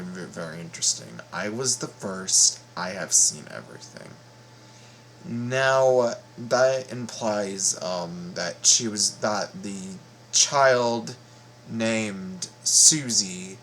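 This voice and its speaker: A man's voice: on the low side, fairly bright tone. A man giving casual game reaction commentary as host, stammering and repeating phrases in slangy, profane talk. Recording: noticeable background noise.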